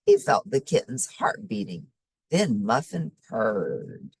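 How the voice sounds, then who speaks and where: Woman reading a kids story, deep for a lady, super expressive, dry close sound. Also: slow, vocal fry, husky, dry recording. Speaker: storybook reader. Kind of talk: expressive children's story narration.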